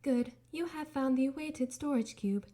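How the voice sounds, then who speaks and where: A woman voicing a cold, sarcastic female AI who runs a testing facility, speaking slowly and condescendingly. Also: high voice, dark tone, voice pushed up. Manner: slow, measured, condescending.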